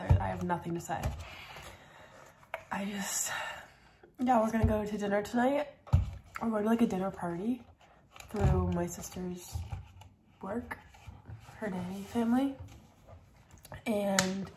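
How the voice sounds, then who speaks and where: Young woman vlogger, scratchy morning voice, mid pitch, rambling slowly in an apartment room. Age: young adult. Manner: rambling, hesitant. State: sore throat, scratchy morning voice. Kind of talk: casual low-key vlog chat.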